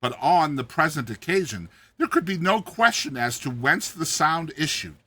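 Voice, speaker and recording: Man, dramatized story narration, intense bright tone, animated intonation, theatrical deliberate phrasing. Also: on the high side. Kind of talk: dramatized reading of a classic short story.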